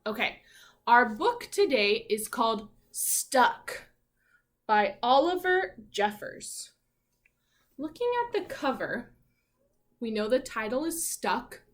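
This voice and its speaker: A woman teacher leading an interactive read-aloud for young children, asking questions and reading with animated emphasis. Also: fairly high pitch. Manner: asks questions and pauses as if hearing answers. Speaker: elementary school teacher.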